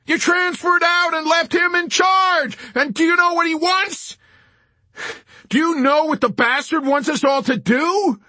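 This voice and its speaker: A man's voice: very high-pitched, a little slow, pushed and bright, dry recording, pitched above the performer's own voice, full character voice. A man voicing an angry, foul-mouthed ex-sergeant who shrieks abuse down the telephone in an audiobook. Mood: furious. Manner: shrieking, abusive.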